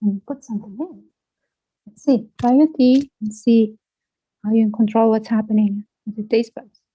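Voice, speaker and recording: A woman guiding a self-inquiry conversation, asking gently probing and playful questions. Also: a little high, steady tone, moderate pace, husky, no room sound, muffled recording.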